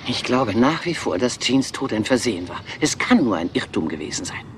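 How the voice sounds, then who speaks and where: A woman's voice: very deep voice, big pitch swings, a little fast, a little hiss or hum. An actress playing an aging female film star in a German crime drama dub, poised and theatrical. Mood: guarded, elegant.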